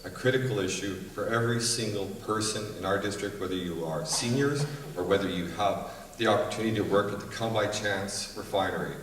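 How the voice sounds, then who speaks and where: A man running for office states his case firmly in short claims and stops to correct himself at times. His voice is very deep. It comes from a distance in a large hall with long reverb and faint noise. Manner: firm, declarative, self-correcting. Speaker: election candidate. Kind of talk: prepared debate statement.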